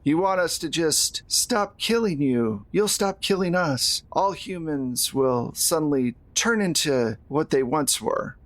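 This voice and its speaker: Guy playing a menacing fairy prince, slow measured talk, bitter, tabletop game, dry sound. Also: fairly high pitch, lively intonation, no echo, voice pushed up. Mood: sardonic. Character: vengeful hot-headed fairy prince posing as a teenager.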